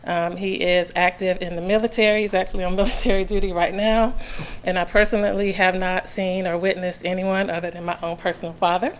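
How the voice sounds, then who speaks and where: A hoarse woman giving earnest public comment at a county meeting, with many "um" fillers, at a podium microphone in a meeting room. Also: fairly low pitch, flat delivery, a little fast, low-quality recording.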